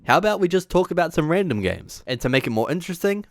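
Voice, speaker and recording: A man's voice: fairly high pitch, sing-song, quick pace. A man presenting an opinionated video essay in a casual way, partly scripted, as the host. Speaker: YouTube host.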